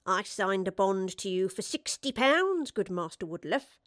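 A woman's voice: medium pitch, very animated, a little slow, no echo, clean studio sound. A woman voicing a pompous, short-tempered male swindler with affected, haughty aloofness in an audiobook. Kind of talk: audiobook character acting.

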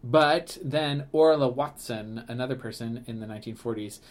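A man's voice: mid-range voice, normal speed. A man co-hosting a podcast in Canada, talking in a chatty, rambling way with lots of fillers, restarts and laughs. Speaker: podcast co-host, museum staff. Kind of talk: casual podcast chat.

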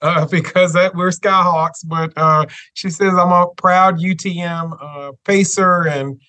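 A man, a guest on a podcast who talks in a gracious, measured way with a touch of self-deprecating humor. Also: sits high, fairly even intonation, bright, forceful tone. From Memphis, Tennessee. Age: 50s. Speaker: guest, university chancellor. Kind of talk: podcast interview.

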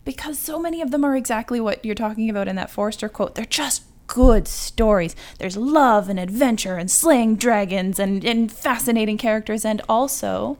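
A woman's voice: on the high side, normal speed, few pauses, darkish tone. A woman writer talking casually about fiction as a guest on a discussion podcast.

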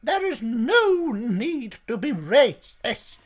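Woman as gentle, placating restaurant boss, sensitive and well-meaning, varied pitch, sketch comedy. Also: big pitch swings, slow, full character voice. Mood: earnest. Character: well-meaning, easily hurt female restaurant manager. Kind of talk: adult comedy sketch acting.

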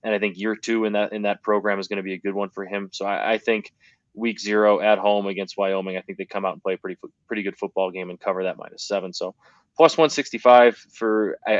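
A man co-hosting a sports betting podcast, struggling with his voice and talking through picks in a rapid, rambling way. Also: fairly low pitch, no echo. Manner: rapid, rambling, restarts often. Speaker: podcast co-host. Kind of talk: casual sports betting chat. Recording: slightly dull lo-fi audio.

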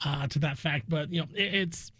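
A man's voice: fairly high pitch, normal speed, sharp tone, breathy edge, no echo, clean studio sound. An American man co-hosting a sports talk show, discussing basketball casually and with opinion, often repeating phrases for emphasis.

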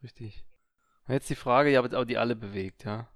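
A man's voice: mid-range voice, brisk, no room sound, clean studio sound. A man with an East German accent, co-hosting a podcast and chatting casually, self-deprecating and chatty. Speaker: podcast co-host. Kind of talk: casual chat.

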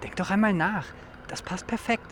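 A man acting an eager, excitable young dinosaur enthusiast in a youth radio play, explaining things dramatically. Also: sits high, moderate pace, noisy background, natural voice. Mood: excited. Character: eager, excitable young male dinosaur fan named Michel. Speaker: actor in an educational audio drama.

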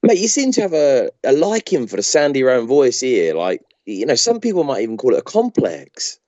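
Man playing a cheeky captive, very animated. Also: mid-range voice, a little fast, no room sound, dull audio. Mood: mocking. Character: cheeky captive podcast presenter plotting his escape. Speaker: podcast host. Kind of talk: amateur comedy audio drama acting.

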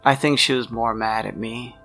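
A man playing an awkward, guilt-ridden young man in a tabletop game, talking haltingly and trailing off. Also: mid-range voice, steady tone, sharp tone, faint music behind. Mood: guilty, regretful.

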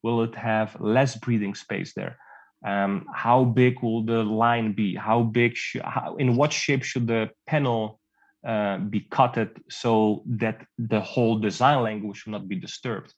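A man's voice: fairly low pitch, steady tone, unhurried. Man from the Netherlands, interview guest, rambling, fillers, restarts, muffled lo-fi audio. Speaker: guest concept artist. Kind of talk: casual interview talk.

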